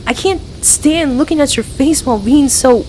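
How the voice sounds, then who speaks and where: A woman's voice: high voice, moderate pace, darkish tone, slight background noise, lightly acted, poor audio. A woman playing a playful, affectionate young best friend with a hesitant, earnest way of talking, in an ASMR roleplay audio. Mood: earnest confession.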